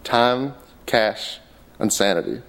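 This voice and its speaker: A man's voice: lowish voice, sing-song, slow, vocal fry, fairly bright tone, full character voice. A man doing a brash, pushy cowboy investor. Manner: blunt, crude, pushy. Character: brash middle-aged male cowboy investor.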